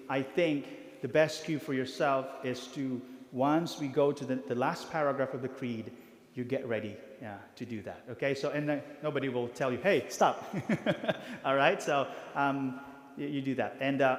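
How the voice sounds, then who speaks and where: A priest briefing parish volunteers, talking haltingly with many fillers and restarts. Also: male voice, mid-range voice. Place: church hall. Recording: reverberant church hall.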